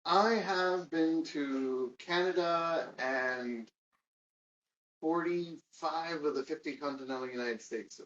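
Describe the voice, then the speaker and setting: Dating coach, 40s guy, halting speech, dull far-off mic sound. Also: a little high, fairly bright tone. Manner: halting, stammering restarts. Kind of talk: casual conversation. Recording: dull, distant mic, light noise.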